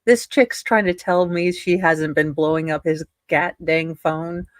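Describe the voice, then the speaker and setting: A woman co-host reads aloud at an unhurried, halting pace. Her voice is slightly low and closely recorded with no room sound, and she adds casual, sarcastic remarks. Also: fairly even intonation, no echo, clean studio sound. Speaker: livestream co-host. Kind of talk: halting reading aloud with casual joking asides.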